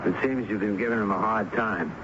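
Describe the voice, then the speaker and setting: An actor playing a stern police inspector in a radio drama, speaking in a curt, lecturing way. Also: male voice, lowish voice, fairly quick, natural voice. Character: cautious male police inspector, stern superior. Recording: dull old radio broadcast with hiss.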